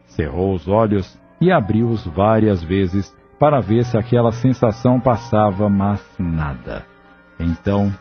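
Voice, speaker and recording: A man narrating an audio novel in a measured, solemn storytelling manner. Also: on the low side. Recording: dull lo-fi audio with faint music.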